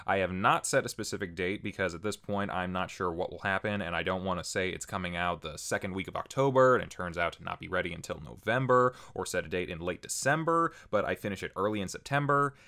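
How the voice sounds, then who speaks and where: A man's voice: low voice, a bit bright. A man hosting a casual scripted channel update, talking informally to viewers with wry asides. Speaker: channel host and video creator.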